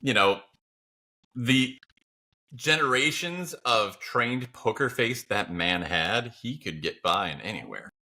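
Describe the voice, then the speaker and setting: A man's voice: mid-range voice, expressive, takes it slowly, sharp tone. A man bantering casually as a podcast co-host, with a wry, playful and emphatic way of talking.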